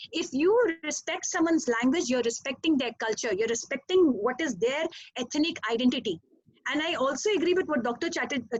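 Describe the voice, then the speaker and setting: A woman's voice: high voice, dry recording, highs cut off. A woman language teacher, talking earnestly on a panel, often adding "you know" and "all right?". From Dubai. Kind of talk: panel discussion.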